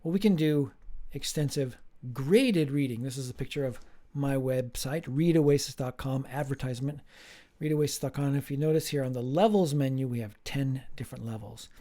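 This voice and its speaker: A man, a professor, giving a slow, emphatic recorded lecture with playful asides. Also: mid-range voice, mellow.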